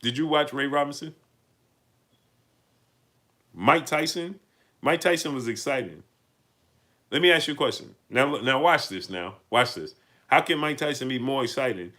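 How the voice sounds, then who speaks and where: A man with a New York accent hosts a live stream, greeting his viewers and answering their messages. His voice is bright and forceful, and he hammers his points by repeating them. He climbs to loud, heated peaks. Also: mid-range voice, expressive, normal speed, pauses often. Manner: emphatic, repeats lines, rises to heated peaks. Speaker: livestream host. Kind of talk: live-stream chat, reading super chats.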